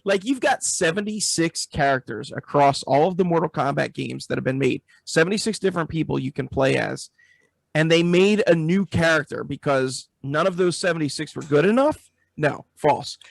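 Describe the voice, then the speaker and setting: A man's voice: on the high side, normal speed, creaky, no echo. A man hosting a casual film review chat, speaking in an opinionated, emphatic way. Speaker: podcast host.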